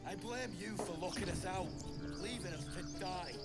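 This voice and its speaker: A man playing a surly, defiant mercenary in a fantasy video game, blustering in rough grammar over music. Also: high voice, moderate pace. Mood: defiant, bitter. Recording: loud game music behind dialogue.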